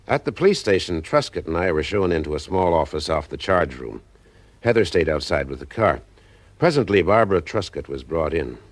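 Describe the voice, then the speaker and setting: Low-pitched male private eye. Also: sing-song, fairly quick, no echo. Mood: wry narrating. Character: male private eye narrating his case, wry, sympathetic. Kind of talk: audio drama detective acting with first-person narration.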